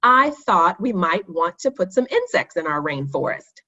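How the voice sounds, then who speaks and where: A woman's voice: lowish voice, very animated, normal speed, bright, forceful tone, lo-fi sound, exaggerated voice. A woman voicing a keen, earnest schoolgirl who explains and speaks up in a children's storybook. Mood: proud, keen.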